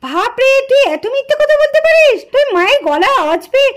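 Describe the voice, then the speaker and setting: A woman's voice: very high voice, very animated, fast talker. A woman voicing a studious, exasperated young girl, an older sister who complains and pleads, in a puppet doll cartoon. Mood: indignant, pleading.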